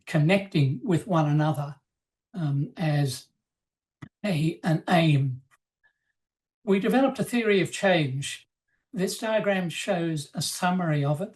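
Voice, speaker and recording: A woman presenting in a webinar, explaining slides in a measured, formal, semi-scripted way. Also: low-pitched, dark tone.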